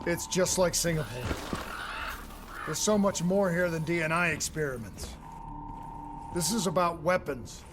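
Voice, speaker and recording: A man's voice: fairly high pitch, a little slow, pauses often, heavy background noise, close to an everyday voice. A man playing a determined soldier in a futuristic military video game, with terse, resolute delivery. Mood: grim, suspicious. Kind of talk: dramatic video game cutscene dialogue. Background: very loud music.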